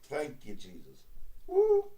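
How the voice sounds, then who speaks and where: A man in his sixties, a radio host and preacher, talking in a slow, emphatic preaching cadence. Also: wildly swooping pitch, dark tone, distant mic. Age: 60s. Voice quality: baritone. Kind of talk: preachy live-stream talk.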